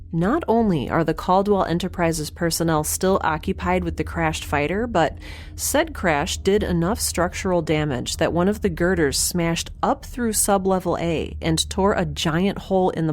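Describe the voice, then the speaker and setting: Woman playing a wry, low-voiced space scientist. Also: a little slow, a little hiss or hum, lightly acted. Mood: intent, observing. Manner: dry, sardonic, precise. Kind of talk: audio drama acting, first-person log narration.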